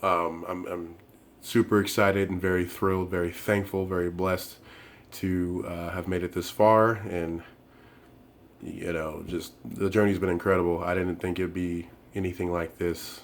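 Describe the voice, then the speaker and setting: Low-voiced male host, hoarse, casual rambling reviewer delivery. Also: flat delivery, measured pace, a little hiss or hum. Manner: rambling, lots of fillers and restarts. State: hoarse, voice worn from the flu. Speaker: tech reviewer and channel host. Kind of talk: casual unboxing and review talk.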